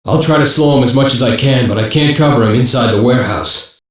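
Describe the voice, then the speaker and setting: A steely male sniper performed by a man in an anime game, speaking at a middling pitch. He is businesslike and controlled, and the voice comes from a distance with heavy reverberation. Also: quick pace, natural voice. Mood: focused, controlled. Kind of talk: dubbed anime game dialogue, tactical report. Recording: very echoey, distant from the mic.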